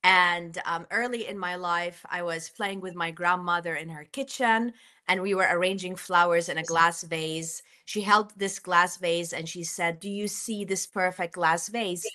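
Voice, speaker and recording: A woman from Jordan telling her personal story as an interview guest, speaking in an earnest, deliberate way. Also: fairly low pitch, steady tone, pushed and bright.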